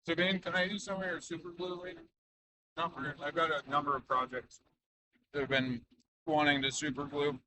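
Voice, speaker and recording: A man's voice: a little high, little pitch movement, normal speed, a crowd in the background, poor audio. A man vlogging in an offhand, wry, musing way. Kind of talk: casual vlog chat.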